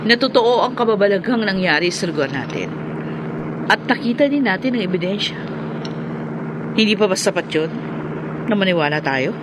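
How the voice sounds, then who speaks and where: A man's voice: sits high, expressive, normal speed, pauses often. An actor, a man, playing an earnest, insistent junior police officer in a radio drama, urgent and questioning. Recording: loud vehicle and street noise.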